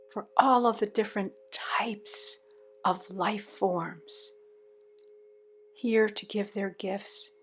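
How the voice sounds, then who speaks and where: Very slow-paced woman guiding a meditation. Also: medium pitch. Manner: measured, pausing between short phrases. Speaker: meditation guide. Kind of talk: guided meditation, slow spoken phrases.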